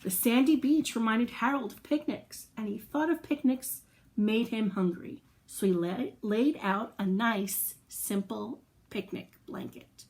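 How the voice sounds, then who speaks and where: A woman's voice: on the high side, unhurried, darkish tone, low-quality recording. A woman reading a picture book aloud to young children, expressively, with playful asides, questions and little sound effects. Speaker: children's storyteller.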